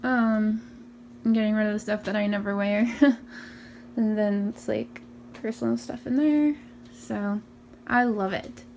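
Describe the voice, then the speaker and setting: A young woman with a raspy morning voice, chatting casually through a tour of her small bedroom, filmed on a handheld phone. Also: medium pitch, steady tone, unhurried, long pauses, darkish tone, slight background noise. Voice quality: raspy from just waking up. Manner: chatty, upbeat, many ums and likes. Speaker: vlogger. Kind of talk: casual room tour vlog. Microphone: handheld phone camera.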